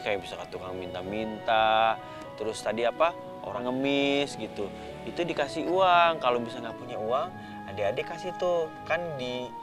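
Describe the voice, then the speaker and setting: A man telling a story to children in an informal, chatty way, often putting questions to his young listeners. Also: mid-range voice.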